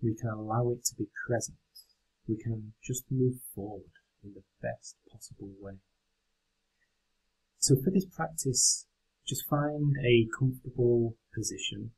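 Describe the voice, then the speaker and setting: A man leading a guided meditation in a gentle, unhurried, instructional way. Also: mid-range voice, vocal fry, slightly rough, low-quality recording.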